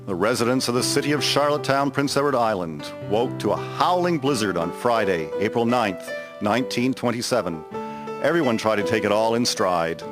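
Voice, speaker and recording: A man's voice: medium pitch, expressive, a little fast. A man narrating a scripted dramatic story. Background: music.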